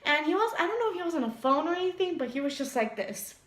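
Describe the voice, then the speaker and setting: High-voiced giggly young woman. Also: fast. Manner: giggly, animated storytelling with playful voices. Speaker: vlogger. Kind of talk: storytime vlog. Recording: echoey room.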